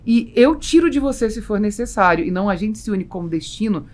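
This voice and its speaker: A woman's voice: medium pitch, expressive, fairly quick, a little mellow. A Brazilian woman hosting a business podcast, explaining her opinions in a conversational way. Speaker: podcast host and interviewer.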